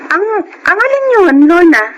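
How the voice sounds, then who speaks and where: A woman's voice: extremely high pitch, sing-song, moderate pace, highs cut off, noisy background. An actress with a Filipino accent playing a proud, principled young woman teacher in an emphatic radio drama style. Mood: flustered, surprised.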